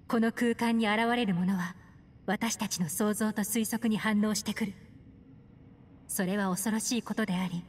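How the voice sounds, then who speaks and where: An actress playing a composed, mysterious adult woman in an anime-style game, speaking in a poised, measured manner. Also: medium pitch, lightly acted. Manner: poised, measured, feminine phrasing. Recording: long reverb, music underneath.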